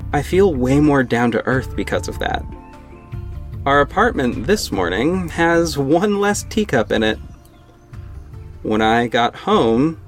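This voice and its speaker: Wry young man narrating a drama. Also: mid-range voice. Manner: wry, casual, conversational narration. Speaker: narrator and lead actor. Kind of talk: first-person audio drama narration. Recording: music bed underneath.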